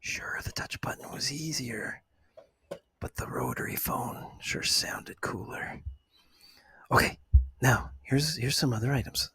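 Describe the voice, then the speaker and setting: A man speaks in a hushed ASMR style, chatting softly to the listener. His voice is extremely breathy and often drops into a whisper. The pitch sits in an ordinary middle range for a man. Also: wildly swooping pitch, measured pace, no room sound. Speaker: ASMR video host. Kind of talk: ASMR, soft chat to the listener.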